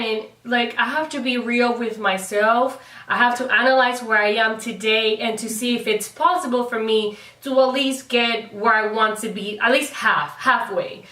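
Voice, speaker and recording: Female guest, twenties, bright voice, earnest and rambling, roomy echo. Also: fairly high pitch. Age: 20s. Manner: earnest, rambling, with frequent restarts. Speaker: guest, fashion blogger. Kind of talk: casual chat. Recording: echoey room, mic at a distance.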